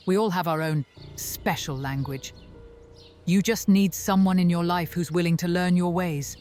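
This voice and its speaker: Woman playing a measured, coaxing female vampire mentor. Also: on the low side, steady tone, warm tone, faint music behind, natural voice. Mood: soothing. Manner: measured, deliberate, soothing and persuasive. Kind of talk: narrative fantasy horror audio roleplay.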